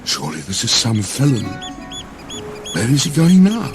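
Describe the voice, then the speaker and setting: A man's voice: mid-range voice, huge pitch swings, moderate pace. A man voicing a wary, questioning divine woman who keeps wine, in a dramatized epic audiobook, with an insistent, wondering delivery. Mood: suspicious. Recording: music behind the voice.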